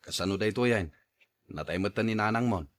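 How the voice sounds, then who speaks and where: A man playing an excitable, questioning man of the household in a Filipino drama, with a Filipino accent. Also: fairly low pitch, fast talker, lightly acted. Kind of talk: Filipino radio-style drama acting.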